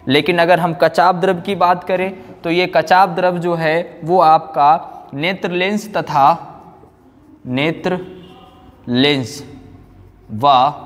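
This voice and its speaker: High-voiced man teaching, echoey room. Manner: repeats phrases slowly for note-taking, asks and answers own questions. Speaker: physics teacher. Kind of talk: classroom lecture, explaining and dictating notes. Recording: echoey room with long reverb.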